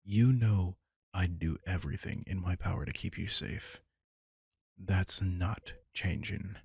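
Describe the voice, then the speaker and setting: A man playing a protective, gentle vampire king in an intimate roleplay audio, talking unhurriedly and soothingly to one listener in a gravelly, husky voice. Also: low voice, measured pace, heavily acted. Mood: protective, reassuring. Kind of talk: intimate roleplay audio drama, comforting monologue.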